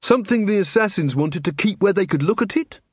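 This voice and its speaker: A man's voice: high-pitched, expressive, a little fast, husky, no echo, voice pushed up. A man voicing a perceptive, hesitant female lance constable with a tentative manner, in an audiobook.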